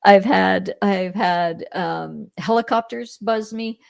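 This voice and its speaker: A middle-aged Canadian woman telling her story as an interview guest, animated, often laughing, with many restarts. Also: medium pitch, slow pace, gravelly, no echo, clean studio sound. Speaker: podcast guest.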